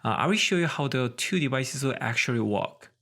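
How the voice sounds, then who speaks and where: A man's voice: medium pitch, animated, fairly quick, fairly bright tone. A man presenting a scripted technical tutorial, explaining things methodically step by step.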